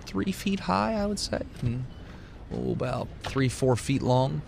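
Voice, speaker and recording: A man's voice: mid-range voice, animated, unhurried, a little mellow. A man hosting as game master, describing scenes in a casual, conversational way as he improvises the narration. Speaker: game master and podcast host. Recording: clear background noise.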